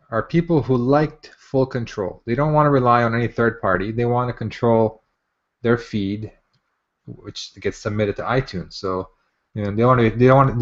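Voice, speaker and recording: Enthusiastic man presenting, dull lo-fi sound. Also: on the low side. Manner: informal, enthusiastic, chatty with viewers. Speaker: software presenter hosting a live online demo. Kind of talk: casual live product demo. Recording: dull, muffled lo-fi online audio.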